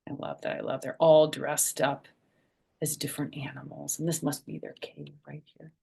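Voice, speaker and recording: A woman hosting a children's storytime, reading a picture book aloud and adding chatty, simple explanations for young listeners, with a husky, creaky voice. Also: deep voice, flat delivery, normal speed, a little mellow.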